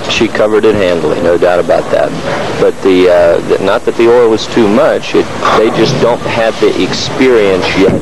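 A man with a lowish voice provides live bowling analysis. He explains the sport as a color commentator would. The TV audio is very noisy, with a heavy alley din around him. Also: steady tone, moderate pace.

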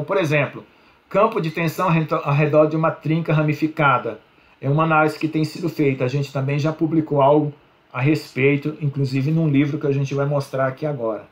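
A middle-aged man with a Brazilian accent lectures from a small room over a video call. His voice sits a bit higher than most men's. He explains patiently, tags his points with "né?" and restarts words as he goes. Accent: Brazilian Portuguese. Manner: explanatory, frequent "né?" tags, self-corrections. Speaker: university lecturer. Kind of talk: online slide lecture.

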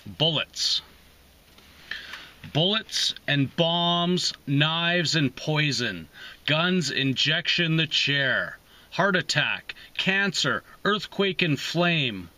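Forceful, deliberate man reading poetry. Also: fairly high pitch, expressive. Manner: deliberate, pausing between short phrases. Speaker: host of a poetry reading series. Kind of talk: slow, emphatic poetry reading. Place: inside a parked car.

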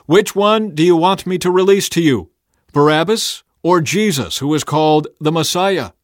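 A man voicing a forceful, exasperated Roman governor addressing a crowd in a dramatized scripture reading, with loud, emphatic questioning. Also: on the high side, moderate pace, no echo, studio quality, pitched above the performer's own voice, natural voice. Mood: demanding, exasperated.

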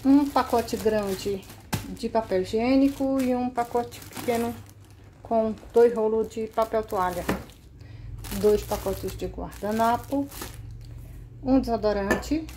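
Woman vlogger, slow and unhurried, reads off a grocery haul item by item, phone mic in a kitchen, plastic crinkle and noise behind. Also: on the high side, warm tone. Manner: slow, listing items one by one. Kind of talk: casual grocery haul showing. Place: kitchen at home, indoors.

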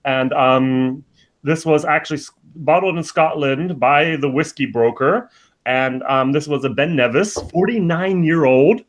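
A man's voice: fairly high pitch, a little slow. A man in his forties, born in the United States, hosting a casual video-call chat from home. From born in the United States, living in Germany. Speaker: host of a whisky review channel. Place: small room at home lined with bottle shelves.

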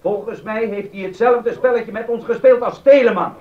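An actor playing a sceptical, needling city councillor in a period drama, speaking formally and pointedly. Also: male voice, high-pitched, moderate pace, distant mic, close to an everyday voice. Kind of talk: period radio-drama acting. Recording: dull sound, off the microphone.

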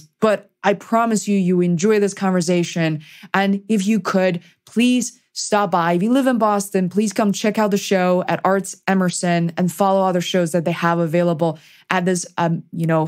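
A woman's voice: medium pitch, darkish tone. A woman hosting a podcast, chatting casually and encouragingly as she interviews.

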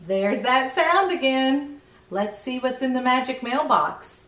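Animated, high-voiced woman reading to children. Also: measured pace. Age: middle-aged. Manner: expressive, addressing small children with questions and exclamations. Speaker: children's read-aloud host. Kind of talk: picture-book read-aloud with chatty asides to young viewers. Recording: roomy echo, distant microphone.

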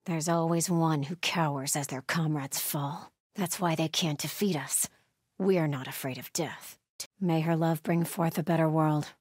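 An actress playing a devout, watchful cult sentry in a video game, speaking in a solemn, measured, pious way with a husky voice. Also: female voice, deep voice, fairly even intonation, darkish tone, dry recording, studio quality. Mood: solemn vigilance. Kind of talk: video game ambient dialogue.

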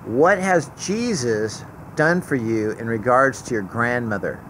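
A man's voice: mid-range voice, animated, unhurried, a bit bright, poor audio. Male interviewer, mid-range voice. Chatty and encouraging, outdoors with light vehicle noise. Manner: encouraging, affirming. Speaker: interviewer behind the camera. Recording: outdoor phone recording, faint traffic noise. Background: faint vehicle noise.

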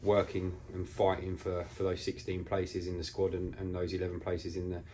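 A man in his forties with a deep voice speaks as a football manager after a match. His delivery is measured and flat, pausing mid-thought. He is recorded on a camera mic indoors with slight background noise. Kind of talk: post-match interview. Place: small bare indoor room.

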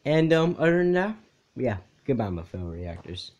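A man's voice: medium pitch, sing-song, moderate pace, a little mellow. Young man giving casual reaction commentary. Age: young adult. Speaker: host of a reaction video.

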